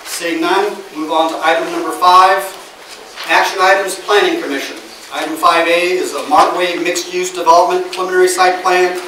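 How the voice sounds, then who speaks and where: A man with a Midwestern American accent, serving as mayor and chairing a city council meeting in a measured, procedural way with frequent fillers. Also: sits high. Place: council chamber. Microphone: far from the mic. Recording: roomy, distant, noisy chamber audio.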